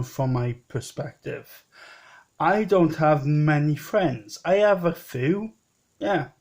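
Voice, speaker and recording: A man's voice: on the high side, a little mellow. A man in his late thirties talking in a rambling personal vlog, often repeating himself and restarting. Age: 30s. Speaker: vlogger.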